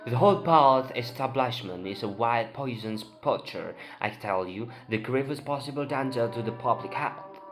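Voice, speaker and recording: Man as crusading doctor, stage play reading, proud and indignant, declamatory, mid-pitched, prominent music underneath. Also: lively intonation, moderate pace, few pauses, natural voice. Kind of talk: amateur dramatic play reading. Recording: voice over prominent background music.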